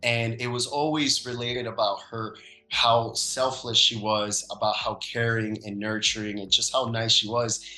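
Male podcast guest from the Dominican Republic, bright tone, roomy echoey recording, loose filler-heavy delivery. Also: mid-range voice, unhurried. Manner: relaxed, thoughtful, frequent um and uh fillers. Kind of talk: casual conversational interview.

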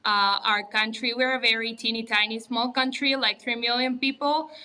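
Very bright Uruguayan-accented woman, clear voice. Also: fairly high pitch, fairly even intonation, moderate pace. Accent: Uruguayan Spanish. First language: Spanish. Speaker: podcast guest, entrepreneur. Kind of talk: casual interview talk.

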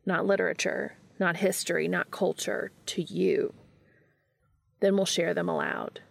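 Woman as composed male college professor for an audiobook: low, slightly creaky, measured, kind, instructive. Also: fairly even intonation, no echo, studio quality, heavily acted. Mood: earnest, instructive.

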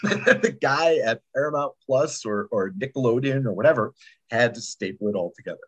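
Man, slightly husky voice, lively swooping pitch, emphatic rambling storyteller, casual interview chat. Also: normal speed. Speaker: podcast producer and sound editor.

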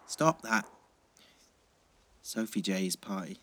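A man's voice: sits low, expressive, takes it slowly, pushed and bright, slight background noise, full character voice. An actor playing a shy, earnest teenage schoolboy, hesitant and flustered, repeating his words in a naturalistic audio drama. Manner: hesitant, stammering repetitions, flustered.

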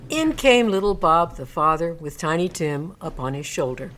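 Woman reading a classic story as narrator, voice a bit on the low side, dramatic storybook style. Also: expressive, normal speed, a bit bright. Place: radio studio.

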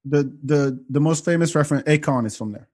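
A man's voice: on the high side, a little fast, a little mellow. A man from Senegal hosting a podcast, telling loose, joking stories with casual swearing. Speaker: podcast host.

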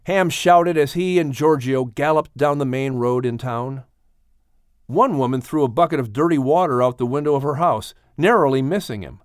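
A man narrating an adventure story for children with expressive, theatrical storytelling delivery. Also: medium pitch, normal speed, clear, resonant tone, no room sound, studio quality. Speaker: audiobook narrator. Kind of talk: dramatic scripted storytelling.

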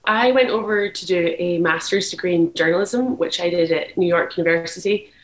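A woman's voice: on the low side, brisk. Scottish woman in an echoey room. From Glasgow, Scotland. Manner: candid, thoughtful, restarts phrases often. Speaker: journalist guest. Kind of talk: interview answers.

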